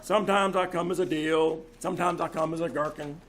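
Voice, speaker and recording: A man playing a lonesome, boastful millionaire in old-time radio comedy, with a halting, drawn-out delivery, recorded live on a theater stage. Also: fairly high pitch, fairly even intonation, a crowd in the background, lightly acted. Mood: wistful boasting. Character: lonesome boastful pickle millionaire, rambling and self-amused. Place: small-town theater stage, live performance.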